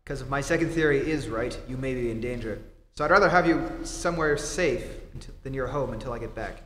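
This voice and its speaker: A man's voice: medium pitch, a little fast, fairly bright tone, close to an everyday voice. A man playing an earnest, upright reporter hero in theatrical 1940s radio-play style. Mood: concerned, firm. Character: Clark Kent, upright concerned adult male reporter hero. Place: theatre stage before an audience. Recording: echoey hall, faint stage noise.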